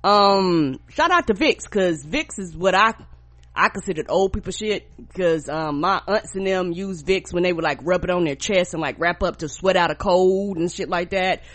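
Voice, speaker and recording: A woman co-hosting a podcast, stuffed up with a cold, telling stories in a casual, profane, animated way. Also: fairly low pitch, moderate pace. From rural United States. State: congested from a cold. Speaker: podcast co-host.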